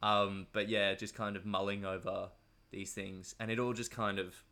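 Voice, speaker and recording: Male interview guest, low but bright and forward voice, conversational, meandering and hesitant delivery. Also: little pitch movement, normal speed.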